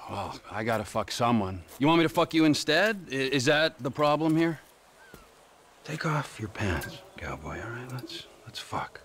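A man performs an unhinged game criminal with a high, sharp, piercing voice. He lurches from mocking menace to screaming fury, pitch leaping all over. A faint hiss sits under the recording. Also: brisk, few pauses, lightly acted. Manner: explosive swings from mocking whispers to screaming rage. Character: volatile, violent middle-aged male criminal. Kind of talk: video game cutscene acting.

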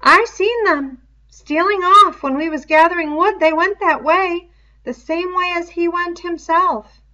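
A woman's voice: very high voice, a little slow, sharp tone, pitched above the performer's own voice, exaggerated voice. A woman voicing an anxious, eager schoolboy in a storybook reading, with ungrammatical, pleading speech, on a dull lo-fi recording. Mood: uneasy. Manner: ungrammatical, pleading tag questions.

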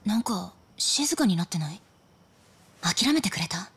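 A woman playing an anxious, alert young woman on the run in a Japanese video game cutscene, in hushed, breathy tones. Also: medium pitch, animated, unhurried, faint music behind, exaggerated voice.

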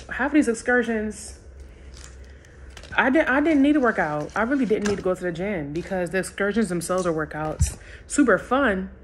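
A woman's voice: medium pitch, a little hiss or hum. Chatty woman vlogging in an echoey hotel room. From Haitian heritage. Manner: animated, loud bursts of laughter, slangy talk to viewers. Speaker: travel vlogger. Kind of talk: casual chatty solo travel vlog. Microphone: camera on tripod, some handheld selfie shots.

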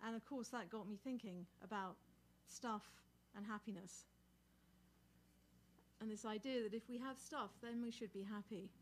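A woman's voice: mid-range voice, normal speed, slight background noise. A middle-aged woman talks informally from a small indoor stage. She sounds conversational, introducing a song to a small audience in a live recording with room sound. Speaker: singer-songwriter. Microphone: microphone on a stand.

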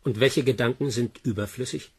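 A man's voice: medium pitch, brisk, a little mellow, slightly rough. A man playing a conscientious, troubled scientist in a sci-fi radio drama, serious and questioning. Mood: concerned.

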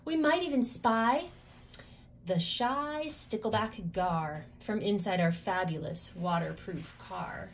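A woman's voice: medium pitch, slow, slight background noise. A woman host reads a children's picture book aloud, following the rhyme with rhythmic, emphatic phrasing. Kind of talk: expressive rhyming storybook read-aloud for children.

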